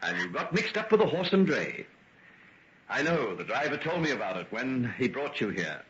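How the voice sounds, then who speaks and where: A courteous gentleman doctor speaks with lively, swinging intonation and a measured, reassuring manner. He is a guarded figure from golden age radio drama, heard through a muffled recording with faint noise. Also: medium pitch. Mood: soothing. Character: genteel male doctor, courteous, soothing, guardedly secretive. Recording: dull, noisy vintage radio recording.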